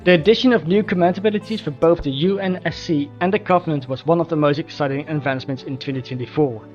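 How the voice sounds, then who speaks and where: A man narrates a formal scripted progress report at a fast clip. His voice is slightly high, and the recording is dull, with faint music underneath. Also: darkish tone. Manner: formal, measured wording. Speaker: mod developer.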